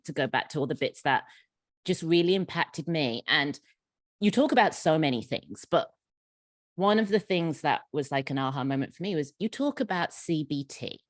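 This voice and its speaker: Female moderator, encouraging, slightly low-pitched; dry, close sound. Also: lively intonation, normal speed, dry recording. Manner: warm, encouraging, repeats words when starting sentences. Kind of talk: hosting a panel conversation. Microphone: video call.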